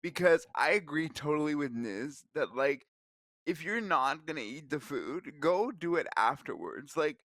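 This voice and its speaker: A man's voice: a little high, very animated, unhurried, a bit bright, no echo. A man co-hosting a talk show, giving his opinion in earnest, casual chat. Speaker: co-host, podcast host.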